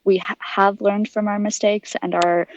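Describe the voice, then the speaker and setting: A woman's voice: mid-range voice, level tone, moderate pace, dull audio, a little hiss or hum. A woman in her early twenties giving articulate, earnest, explanatory answers as an interview guest. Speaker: interview guest, aspiring astronaut.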